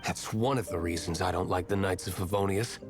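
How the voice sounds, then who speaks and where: A man's voice: sits low, brisk, natural voice. An actor playing an aloof, guarded young nobleman in a game, cool and curt in manner. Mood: dry, guarded. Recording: game music behind the voice.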